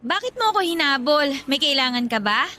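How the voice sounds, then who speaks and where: A woman's voice: high voice, very animated, fairly quick, pushed and bright, exaggerated voice. A woman playing a friendly, teasing young working mother in conversational radio drama dialogue. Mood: playful.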